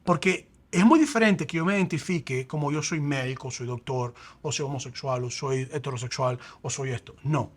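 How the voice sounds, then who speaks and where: A man, a pastor, explaining faith and identity in a lively conversational way, acting out quoted examples. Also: mid-range voice, normal speed, few pauses.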